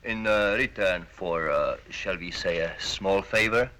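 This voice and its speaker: An actor playing a polite, menacing Polish intelligence official in a training film, formal and insinuating with deliberate hesitations. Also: male voice, low voice, bright, forceful tone. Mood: silky, coercive.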